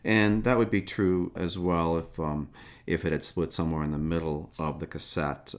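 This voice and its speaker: A man narrating a hands-on repair tutorial in a casual, unscripted way, explaining step by step in a halting manner. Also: sits low, fairly even intonation. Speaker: tutorial host.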